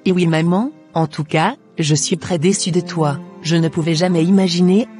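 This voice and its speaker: Young cartoon daughter played by a man, high voice, earnest and easily troubled, faint music behind. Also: steady tone, normal speed, a little mellow, natural voice. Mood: disappointed. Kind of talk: scripted cartoon dialogue.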